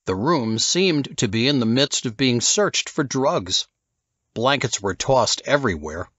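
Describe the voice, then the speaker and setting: A man's voice: mid-range voice, fairly bright tone, dry recording, lo-fi sound. A man reading a novel as scripted narration, telling the story as an audiobook narrator.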